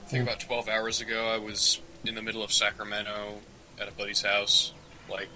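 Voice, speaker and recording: A man's voice: on the low side, monotone, fairly quick, bright, forceful tone, slight background noise, rough recording. A man co-hosting a podcast with casual banter, often stammering and repeating his words as he goes. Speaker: podcast co-host.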